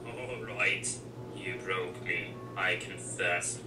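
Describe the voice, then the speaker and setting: A man's voice: deep voice, takes it slowly. A man voicing a grumpy, devious diesel engine in a cartoon comic dub. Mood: rattled. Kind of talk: comic dub character acting. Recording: roomy, distant playback under loud music.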